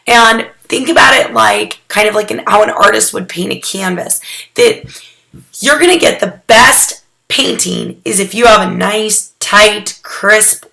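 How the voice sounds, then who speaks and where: A hoarse young woman getting over a cold, talking casually through a photography critique, rambling with lots of "um"s and restarts. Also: medium pitch, measured pace, pushed and bright. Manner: chatty and rambling, with many "um"s and restarts. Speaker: photography mentor critiquing student work. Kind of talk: casual recorded critique addressed to students.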